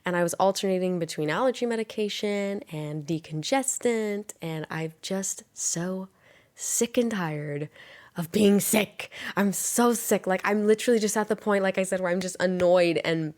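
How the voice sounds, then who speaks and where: A woman's voice: medium pitch, moderate pace, a little mellow. A young woman hosting her own podcast in casual, rambling chat, sounding stuffy and congested from a sinus infection. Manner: chatty and rambling, with frequent fillers and little laughs. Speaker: podcast host.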